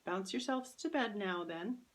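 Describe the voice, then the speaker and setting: A woman's voice: mid-range voice, animated, unhurried, pitched above the performer's own voice, lightly acted. A woman voicing a kindly, whimsical old great-aunt soothing children in a storybook. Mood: gently encouraging.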